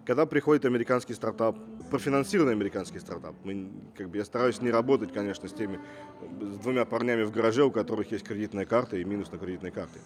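A man's voice: fairly low pitch, racing. A man who grew up in Russia, speaking as a guest entrepreneur in an interview, assertive and fond of rhetorical questions. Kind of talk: casual interview talk.

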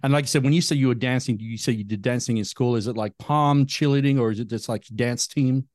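Male podcast host, mid-pitched, relaxed, dry close-mic sound. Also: fast, no echo. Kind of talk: interview questions, casual.

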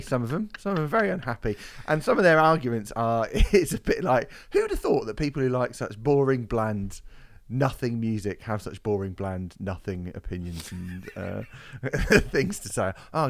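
A man hosting a casual music podcast, rambling and swearing, his voice a bit off after karaoke. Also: medium pitch. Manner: swearing, rambling, laughs often. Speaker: podcast host.

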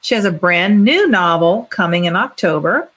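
A woman's voice: fairly low pitch, animated, normal speed, fairly bright tone. An American woman co-hosting a live show, making casual announcements and chatting. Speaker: co-host.

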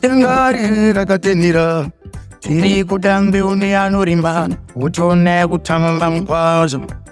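A man singing a song in a drawn-out, chanting way. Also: sits high, faint music behind.

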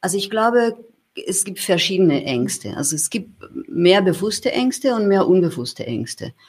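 A woman from Germany talks slowly through her ideas in an interview, stopping and restarting as she searches for words. Her voice sits a little low and has a husky edge. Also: sing-song, a little mellow. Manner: thoughtful, searching, many restarts and fillers. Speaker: interview guest. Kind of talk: reflective conversational interview.

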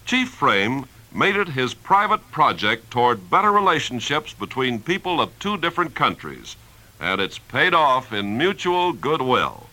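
A male announcer in the 1950s radio style. He is very bright and forceful with lively intonation, delivering scripted narration in a formal, polished, emphatic way. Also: mid-range voice, measured pace, poor audio.

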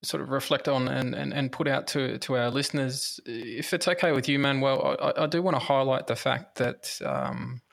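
Male podcast host, mid-range gravelly voice, casual and hesitant phrasing. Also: medium pitch, fairly even intonation, normal speed, hardly any pauses, fairly bright tone. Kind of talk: casual panel chat.

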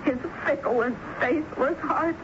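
A dull, noisy vintage radio recording carries a lovelorn woman. Her voice is high and slow. She speaks in halting, theatrical phrases, wounded yet defiant, as in a 1970s radio drama. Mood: impassioned. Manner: dramatic, halting, repeats words for emphasis.

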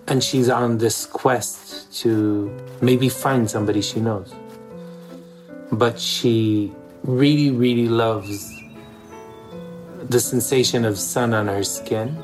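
A man's voice: lowish voice, steady tone, takes it slowly, darkish tone, soft background music, low-quality recording. A man from East Jerusalem speaking as an interview guest in an earnest, reflective way, often repeating words for emphasis. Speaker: interview guest, theater director.

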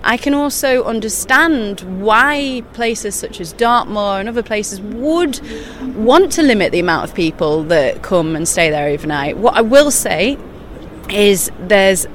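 Chatty woman guest at a show, laughs a lot, lively asides, bit of background hum. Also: medium pitch. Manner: animated, laughing, quick asides. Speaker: guest, children's author and eco-journalist. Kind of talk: casual interview chat. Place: busy exhibition show floor.

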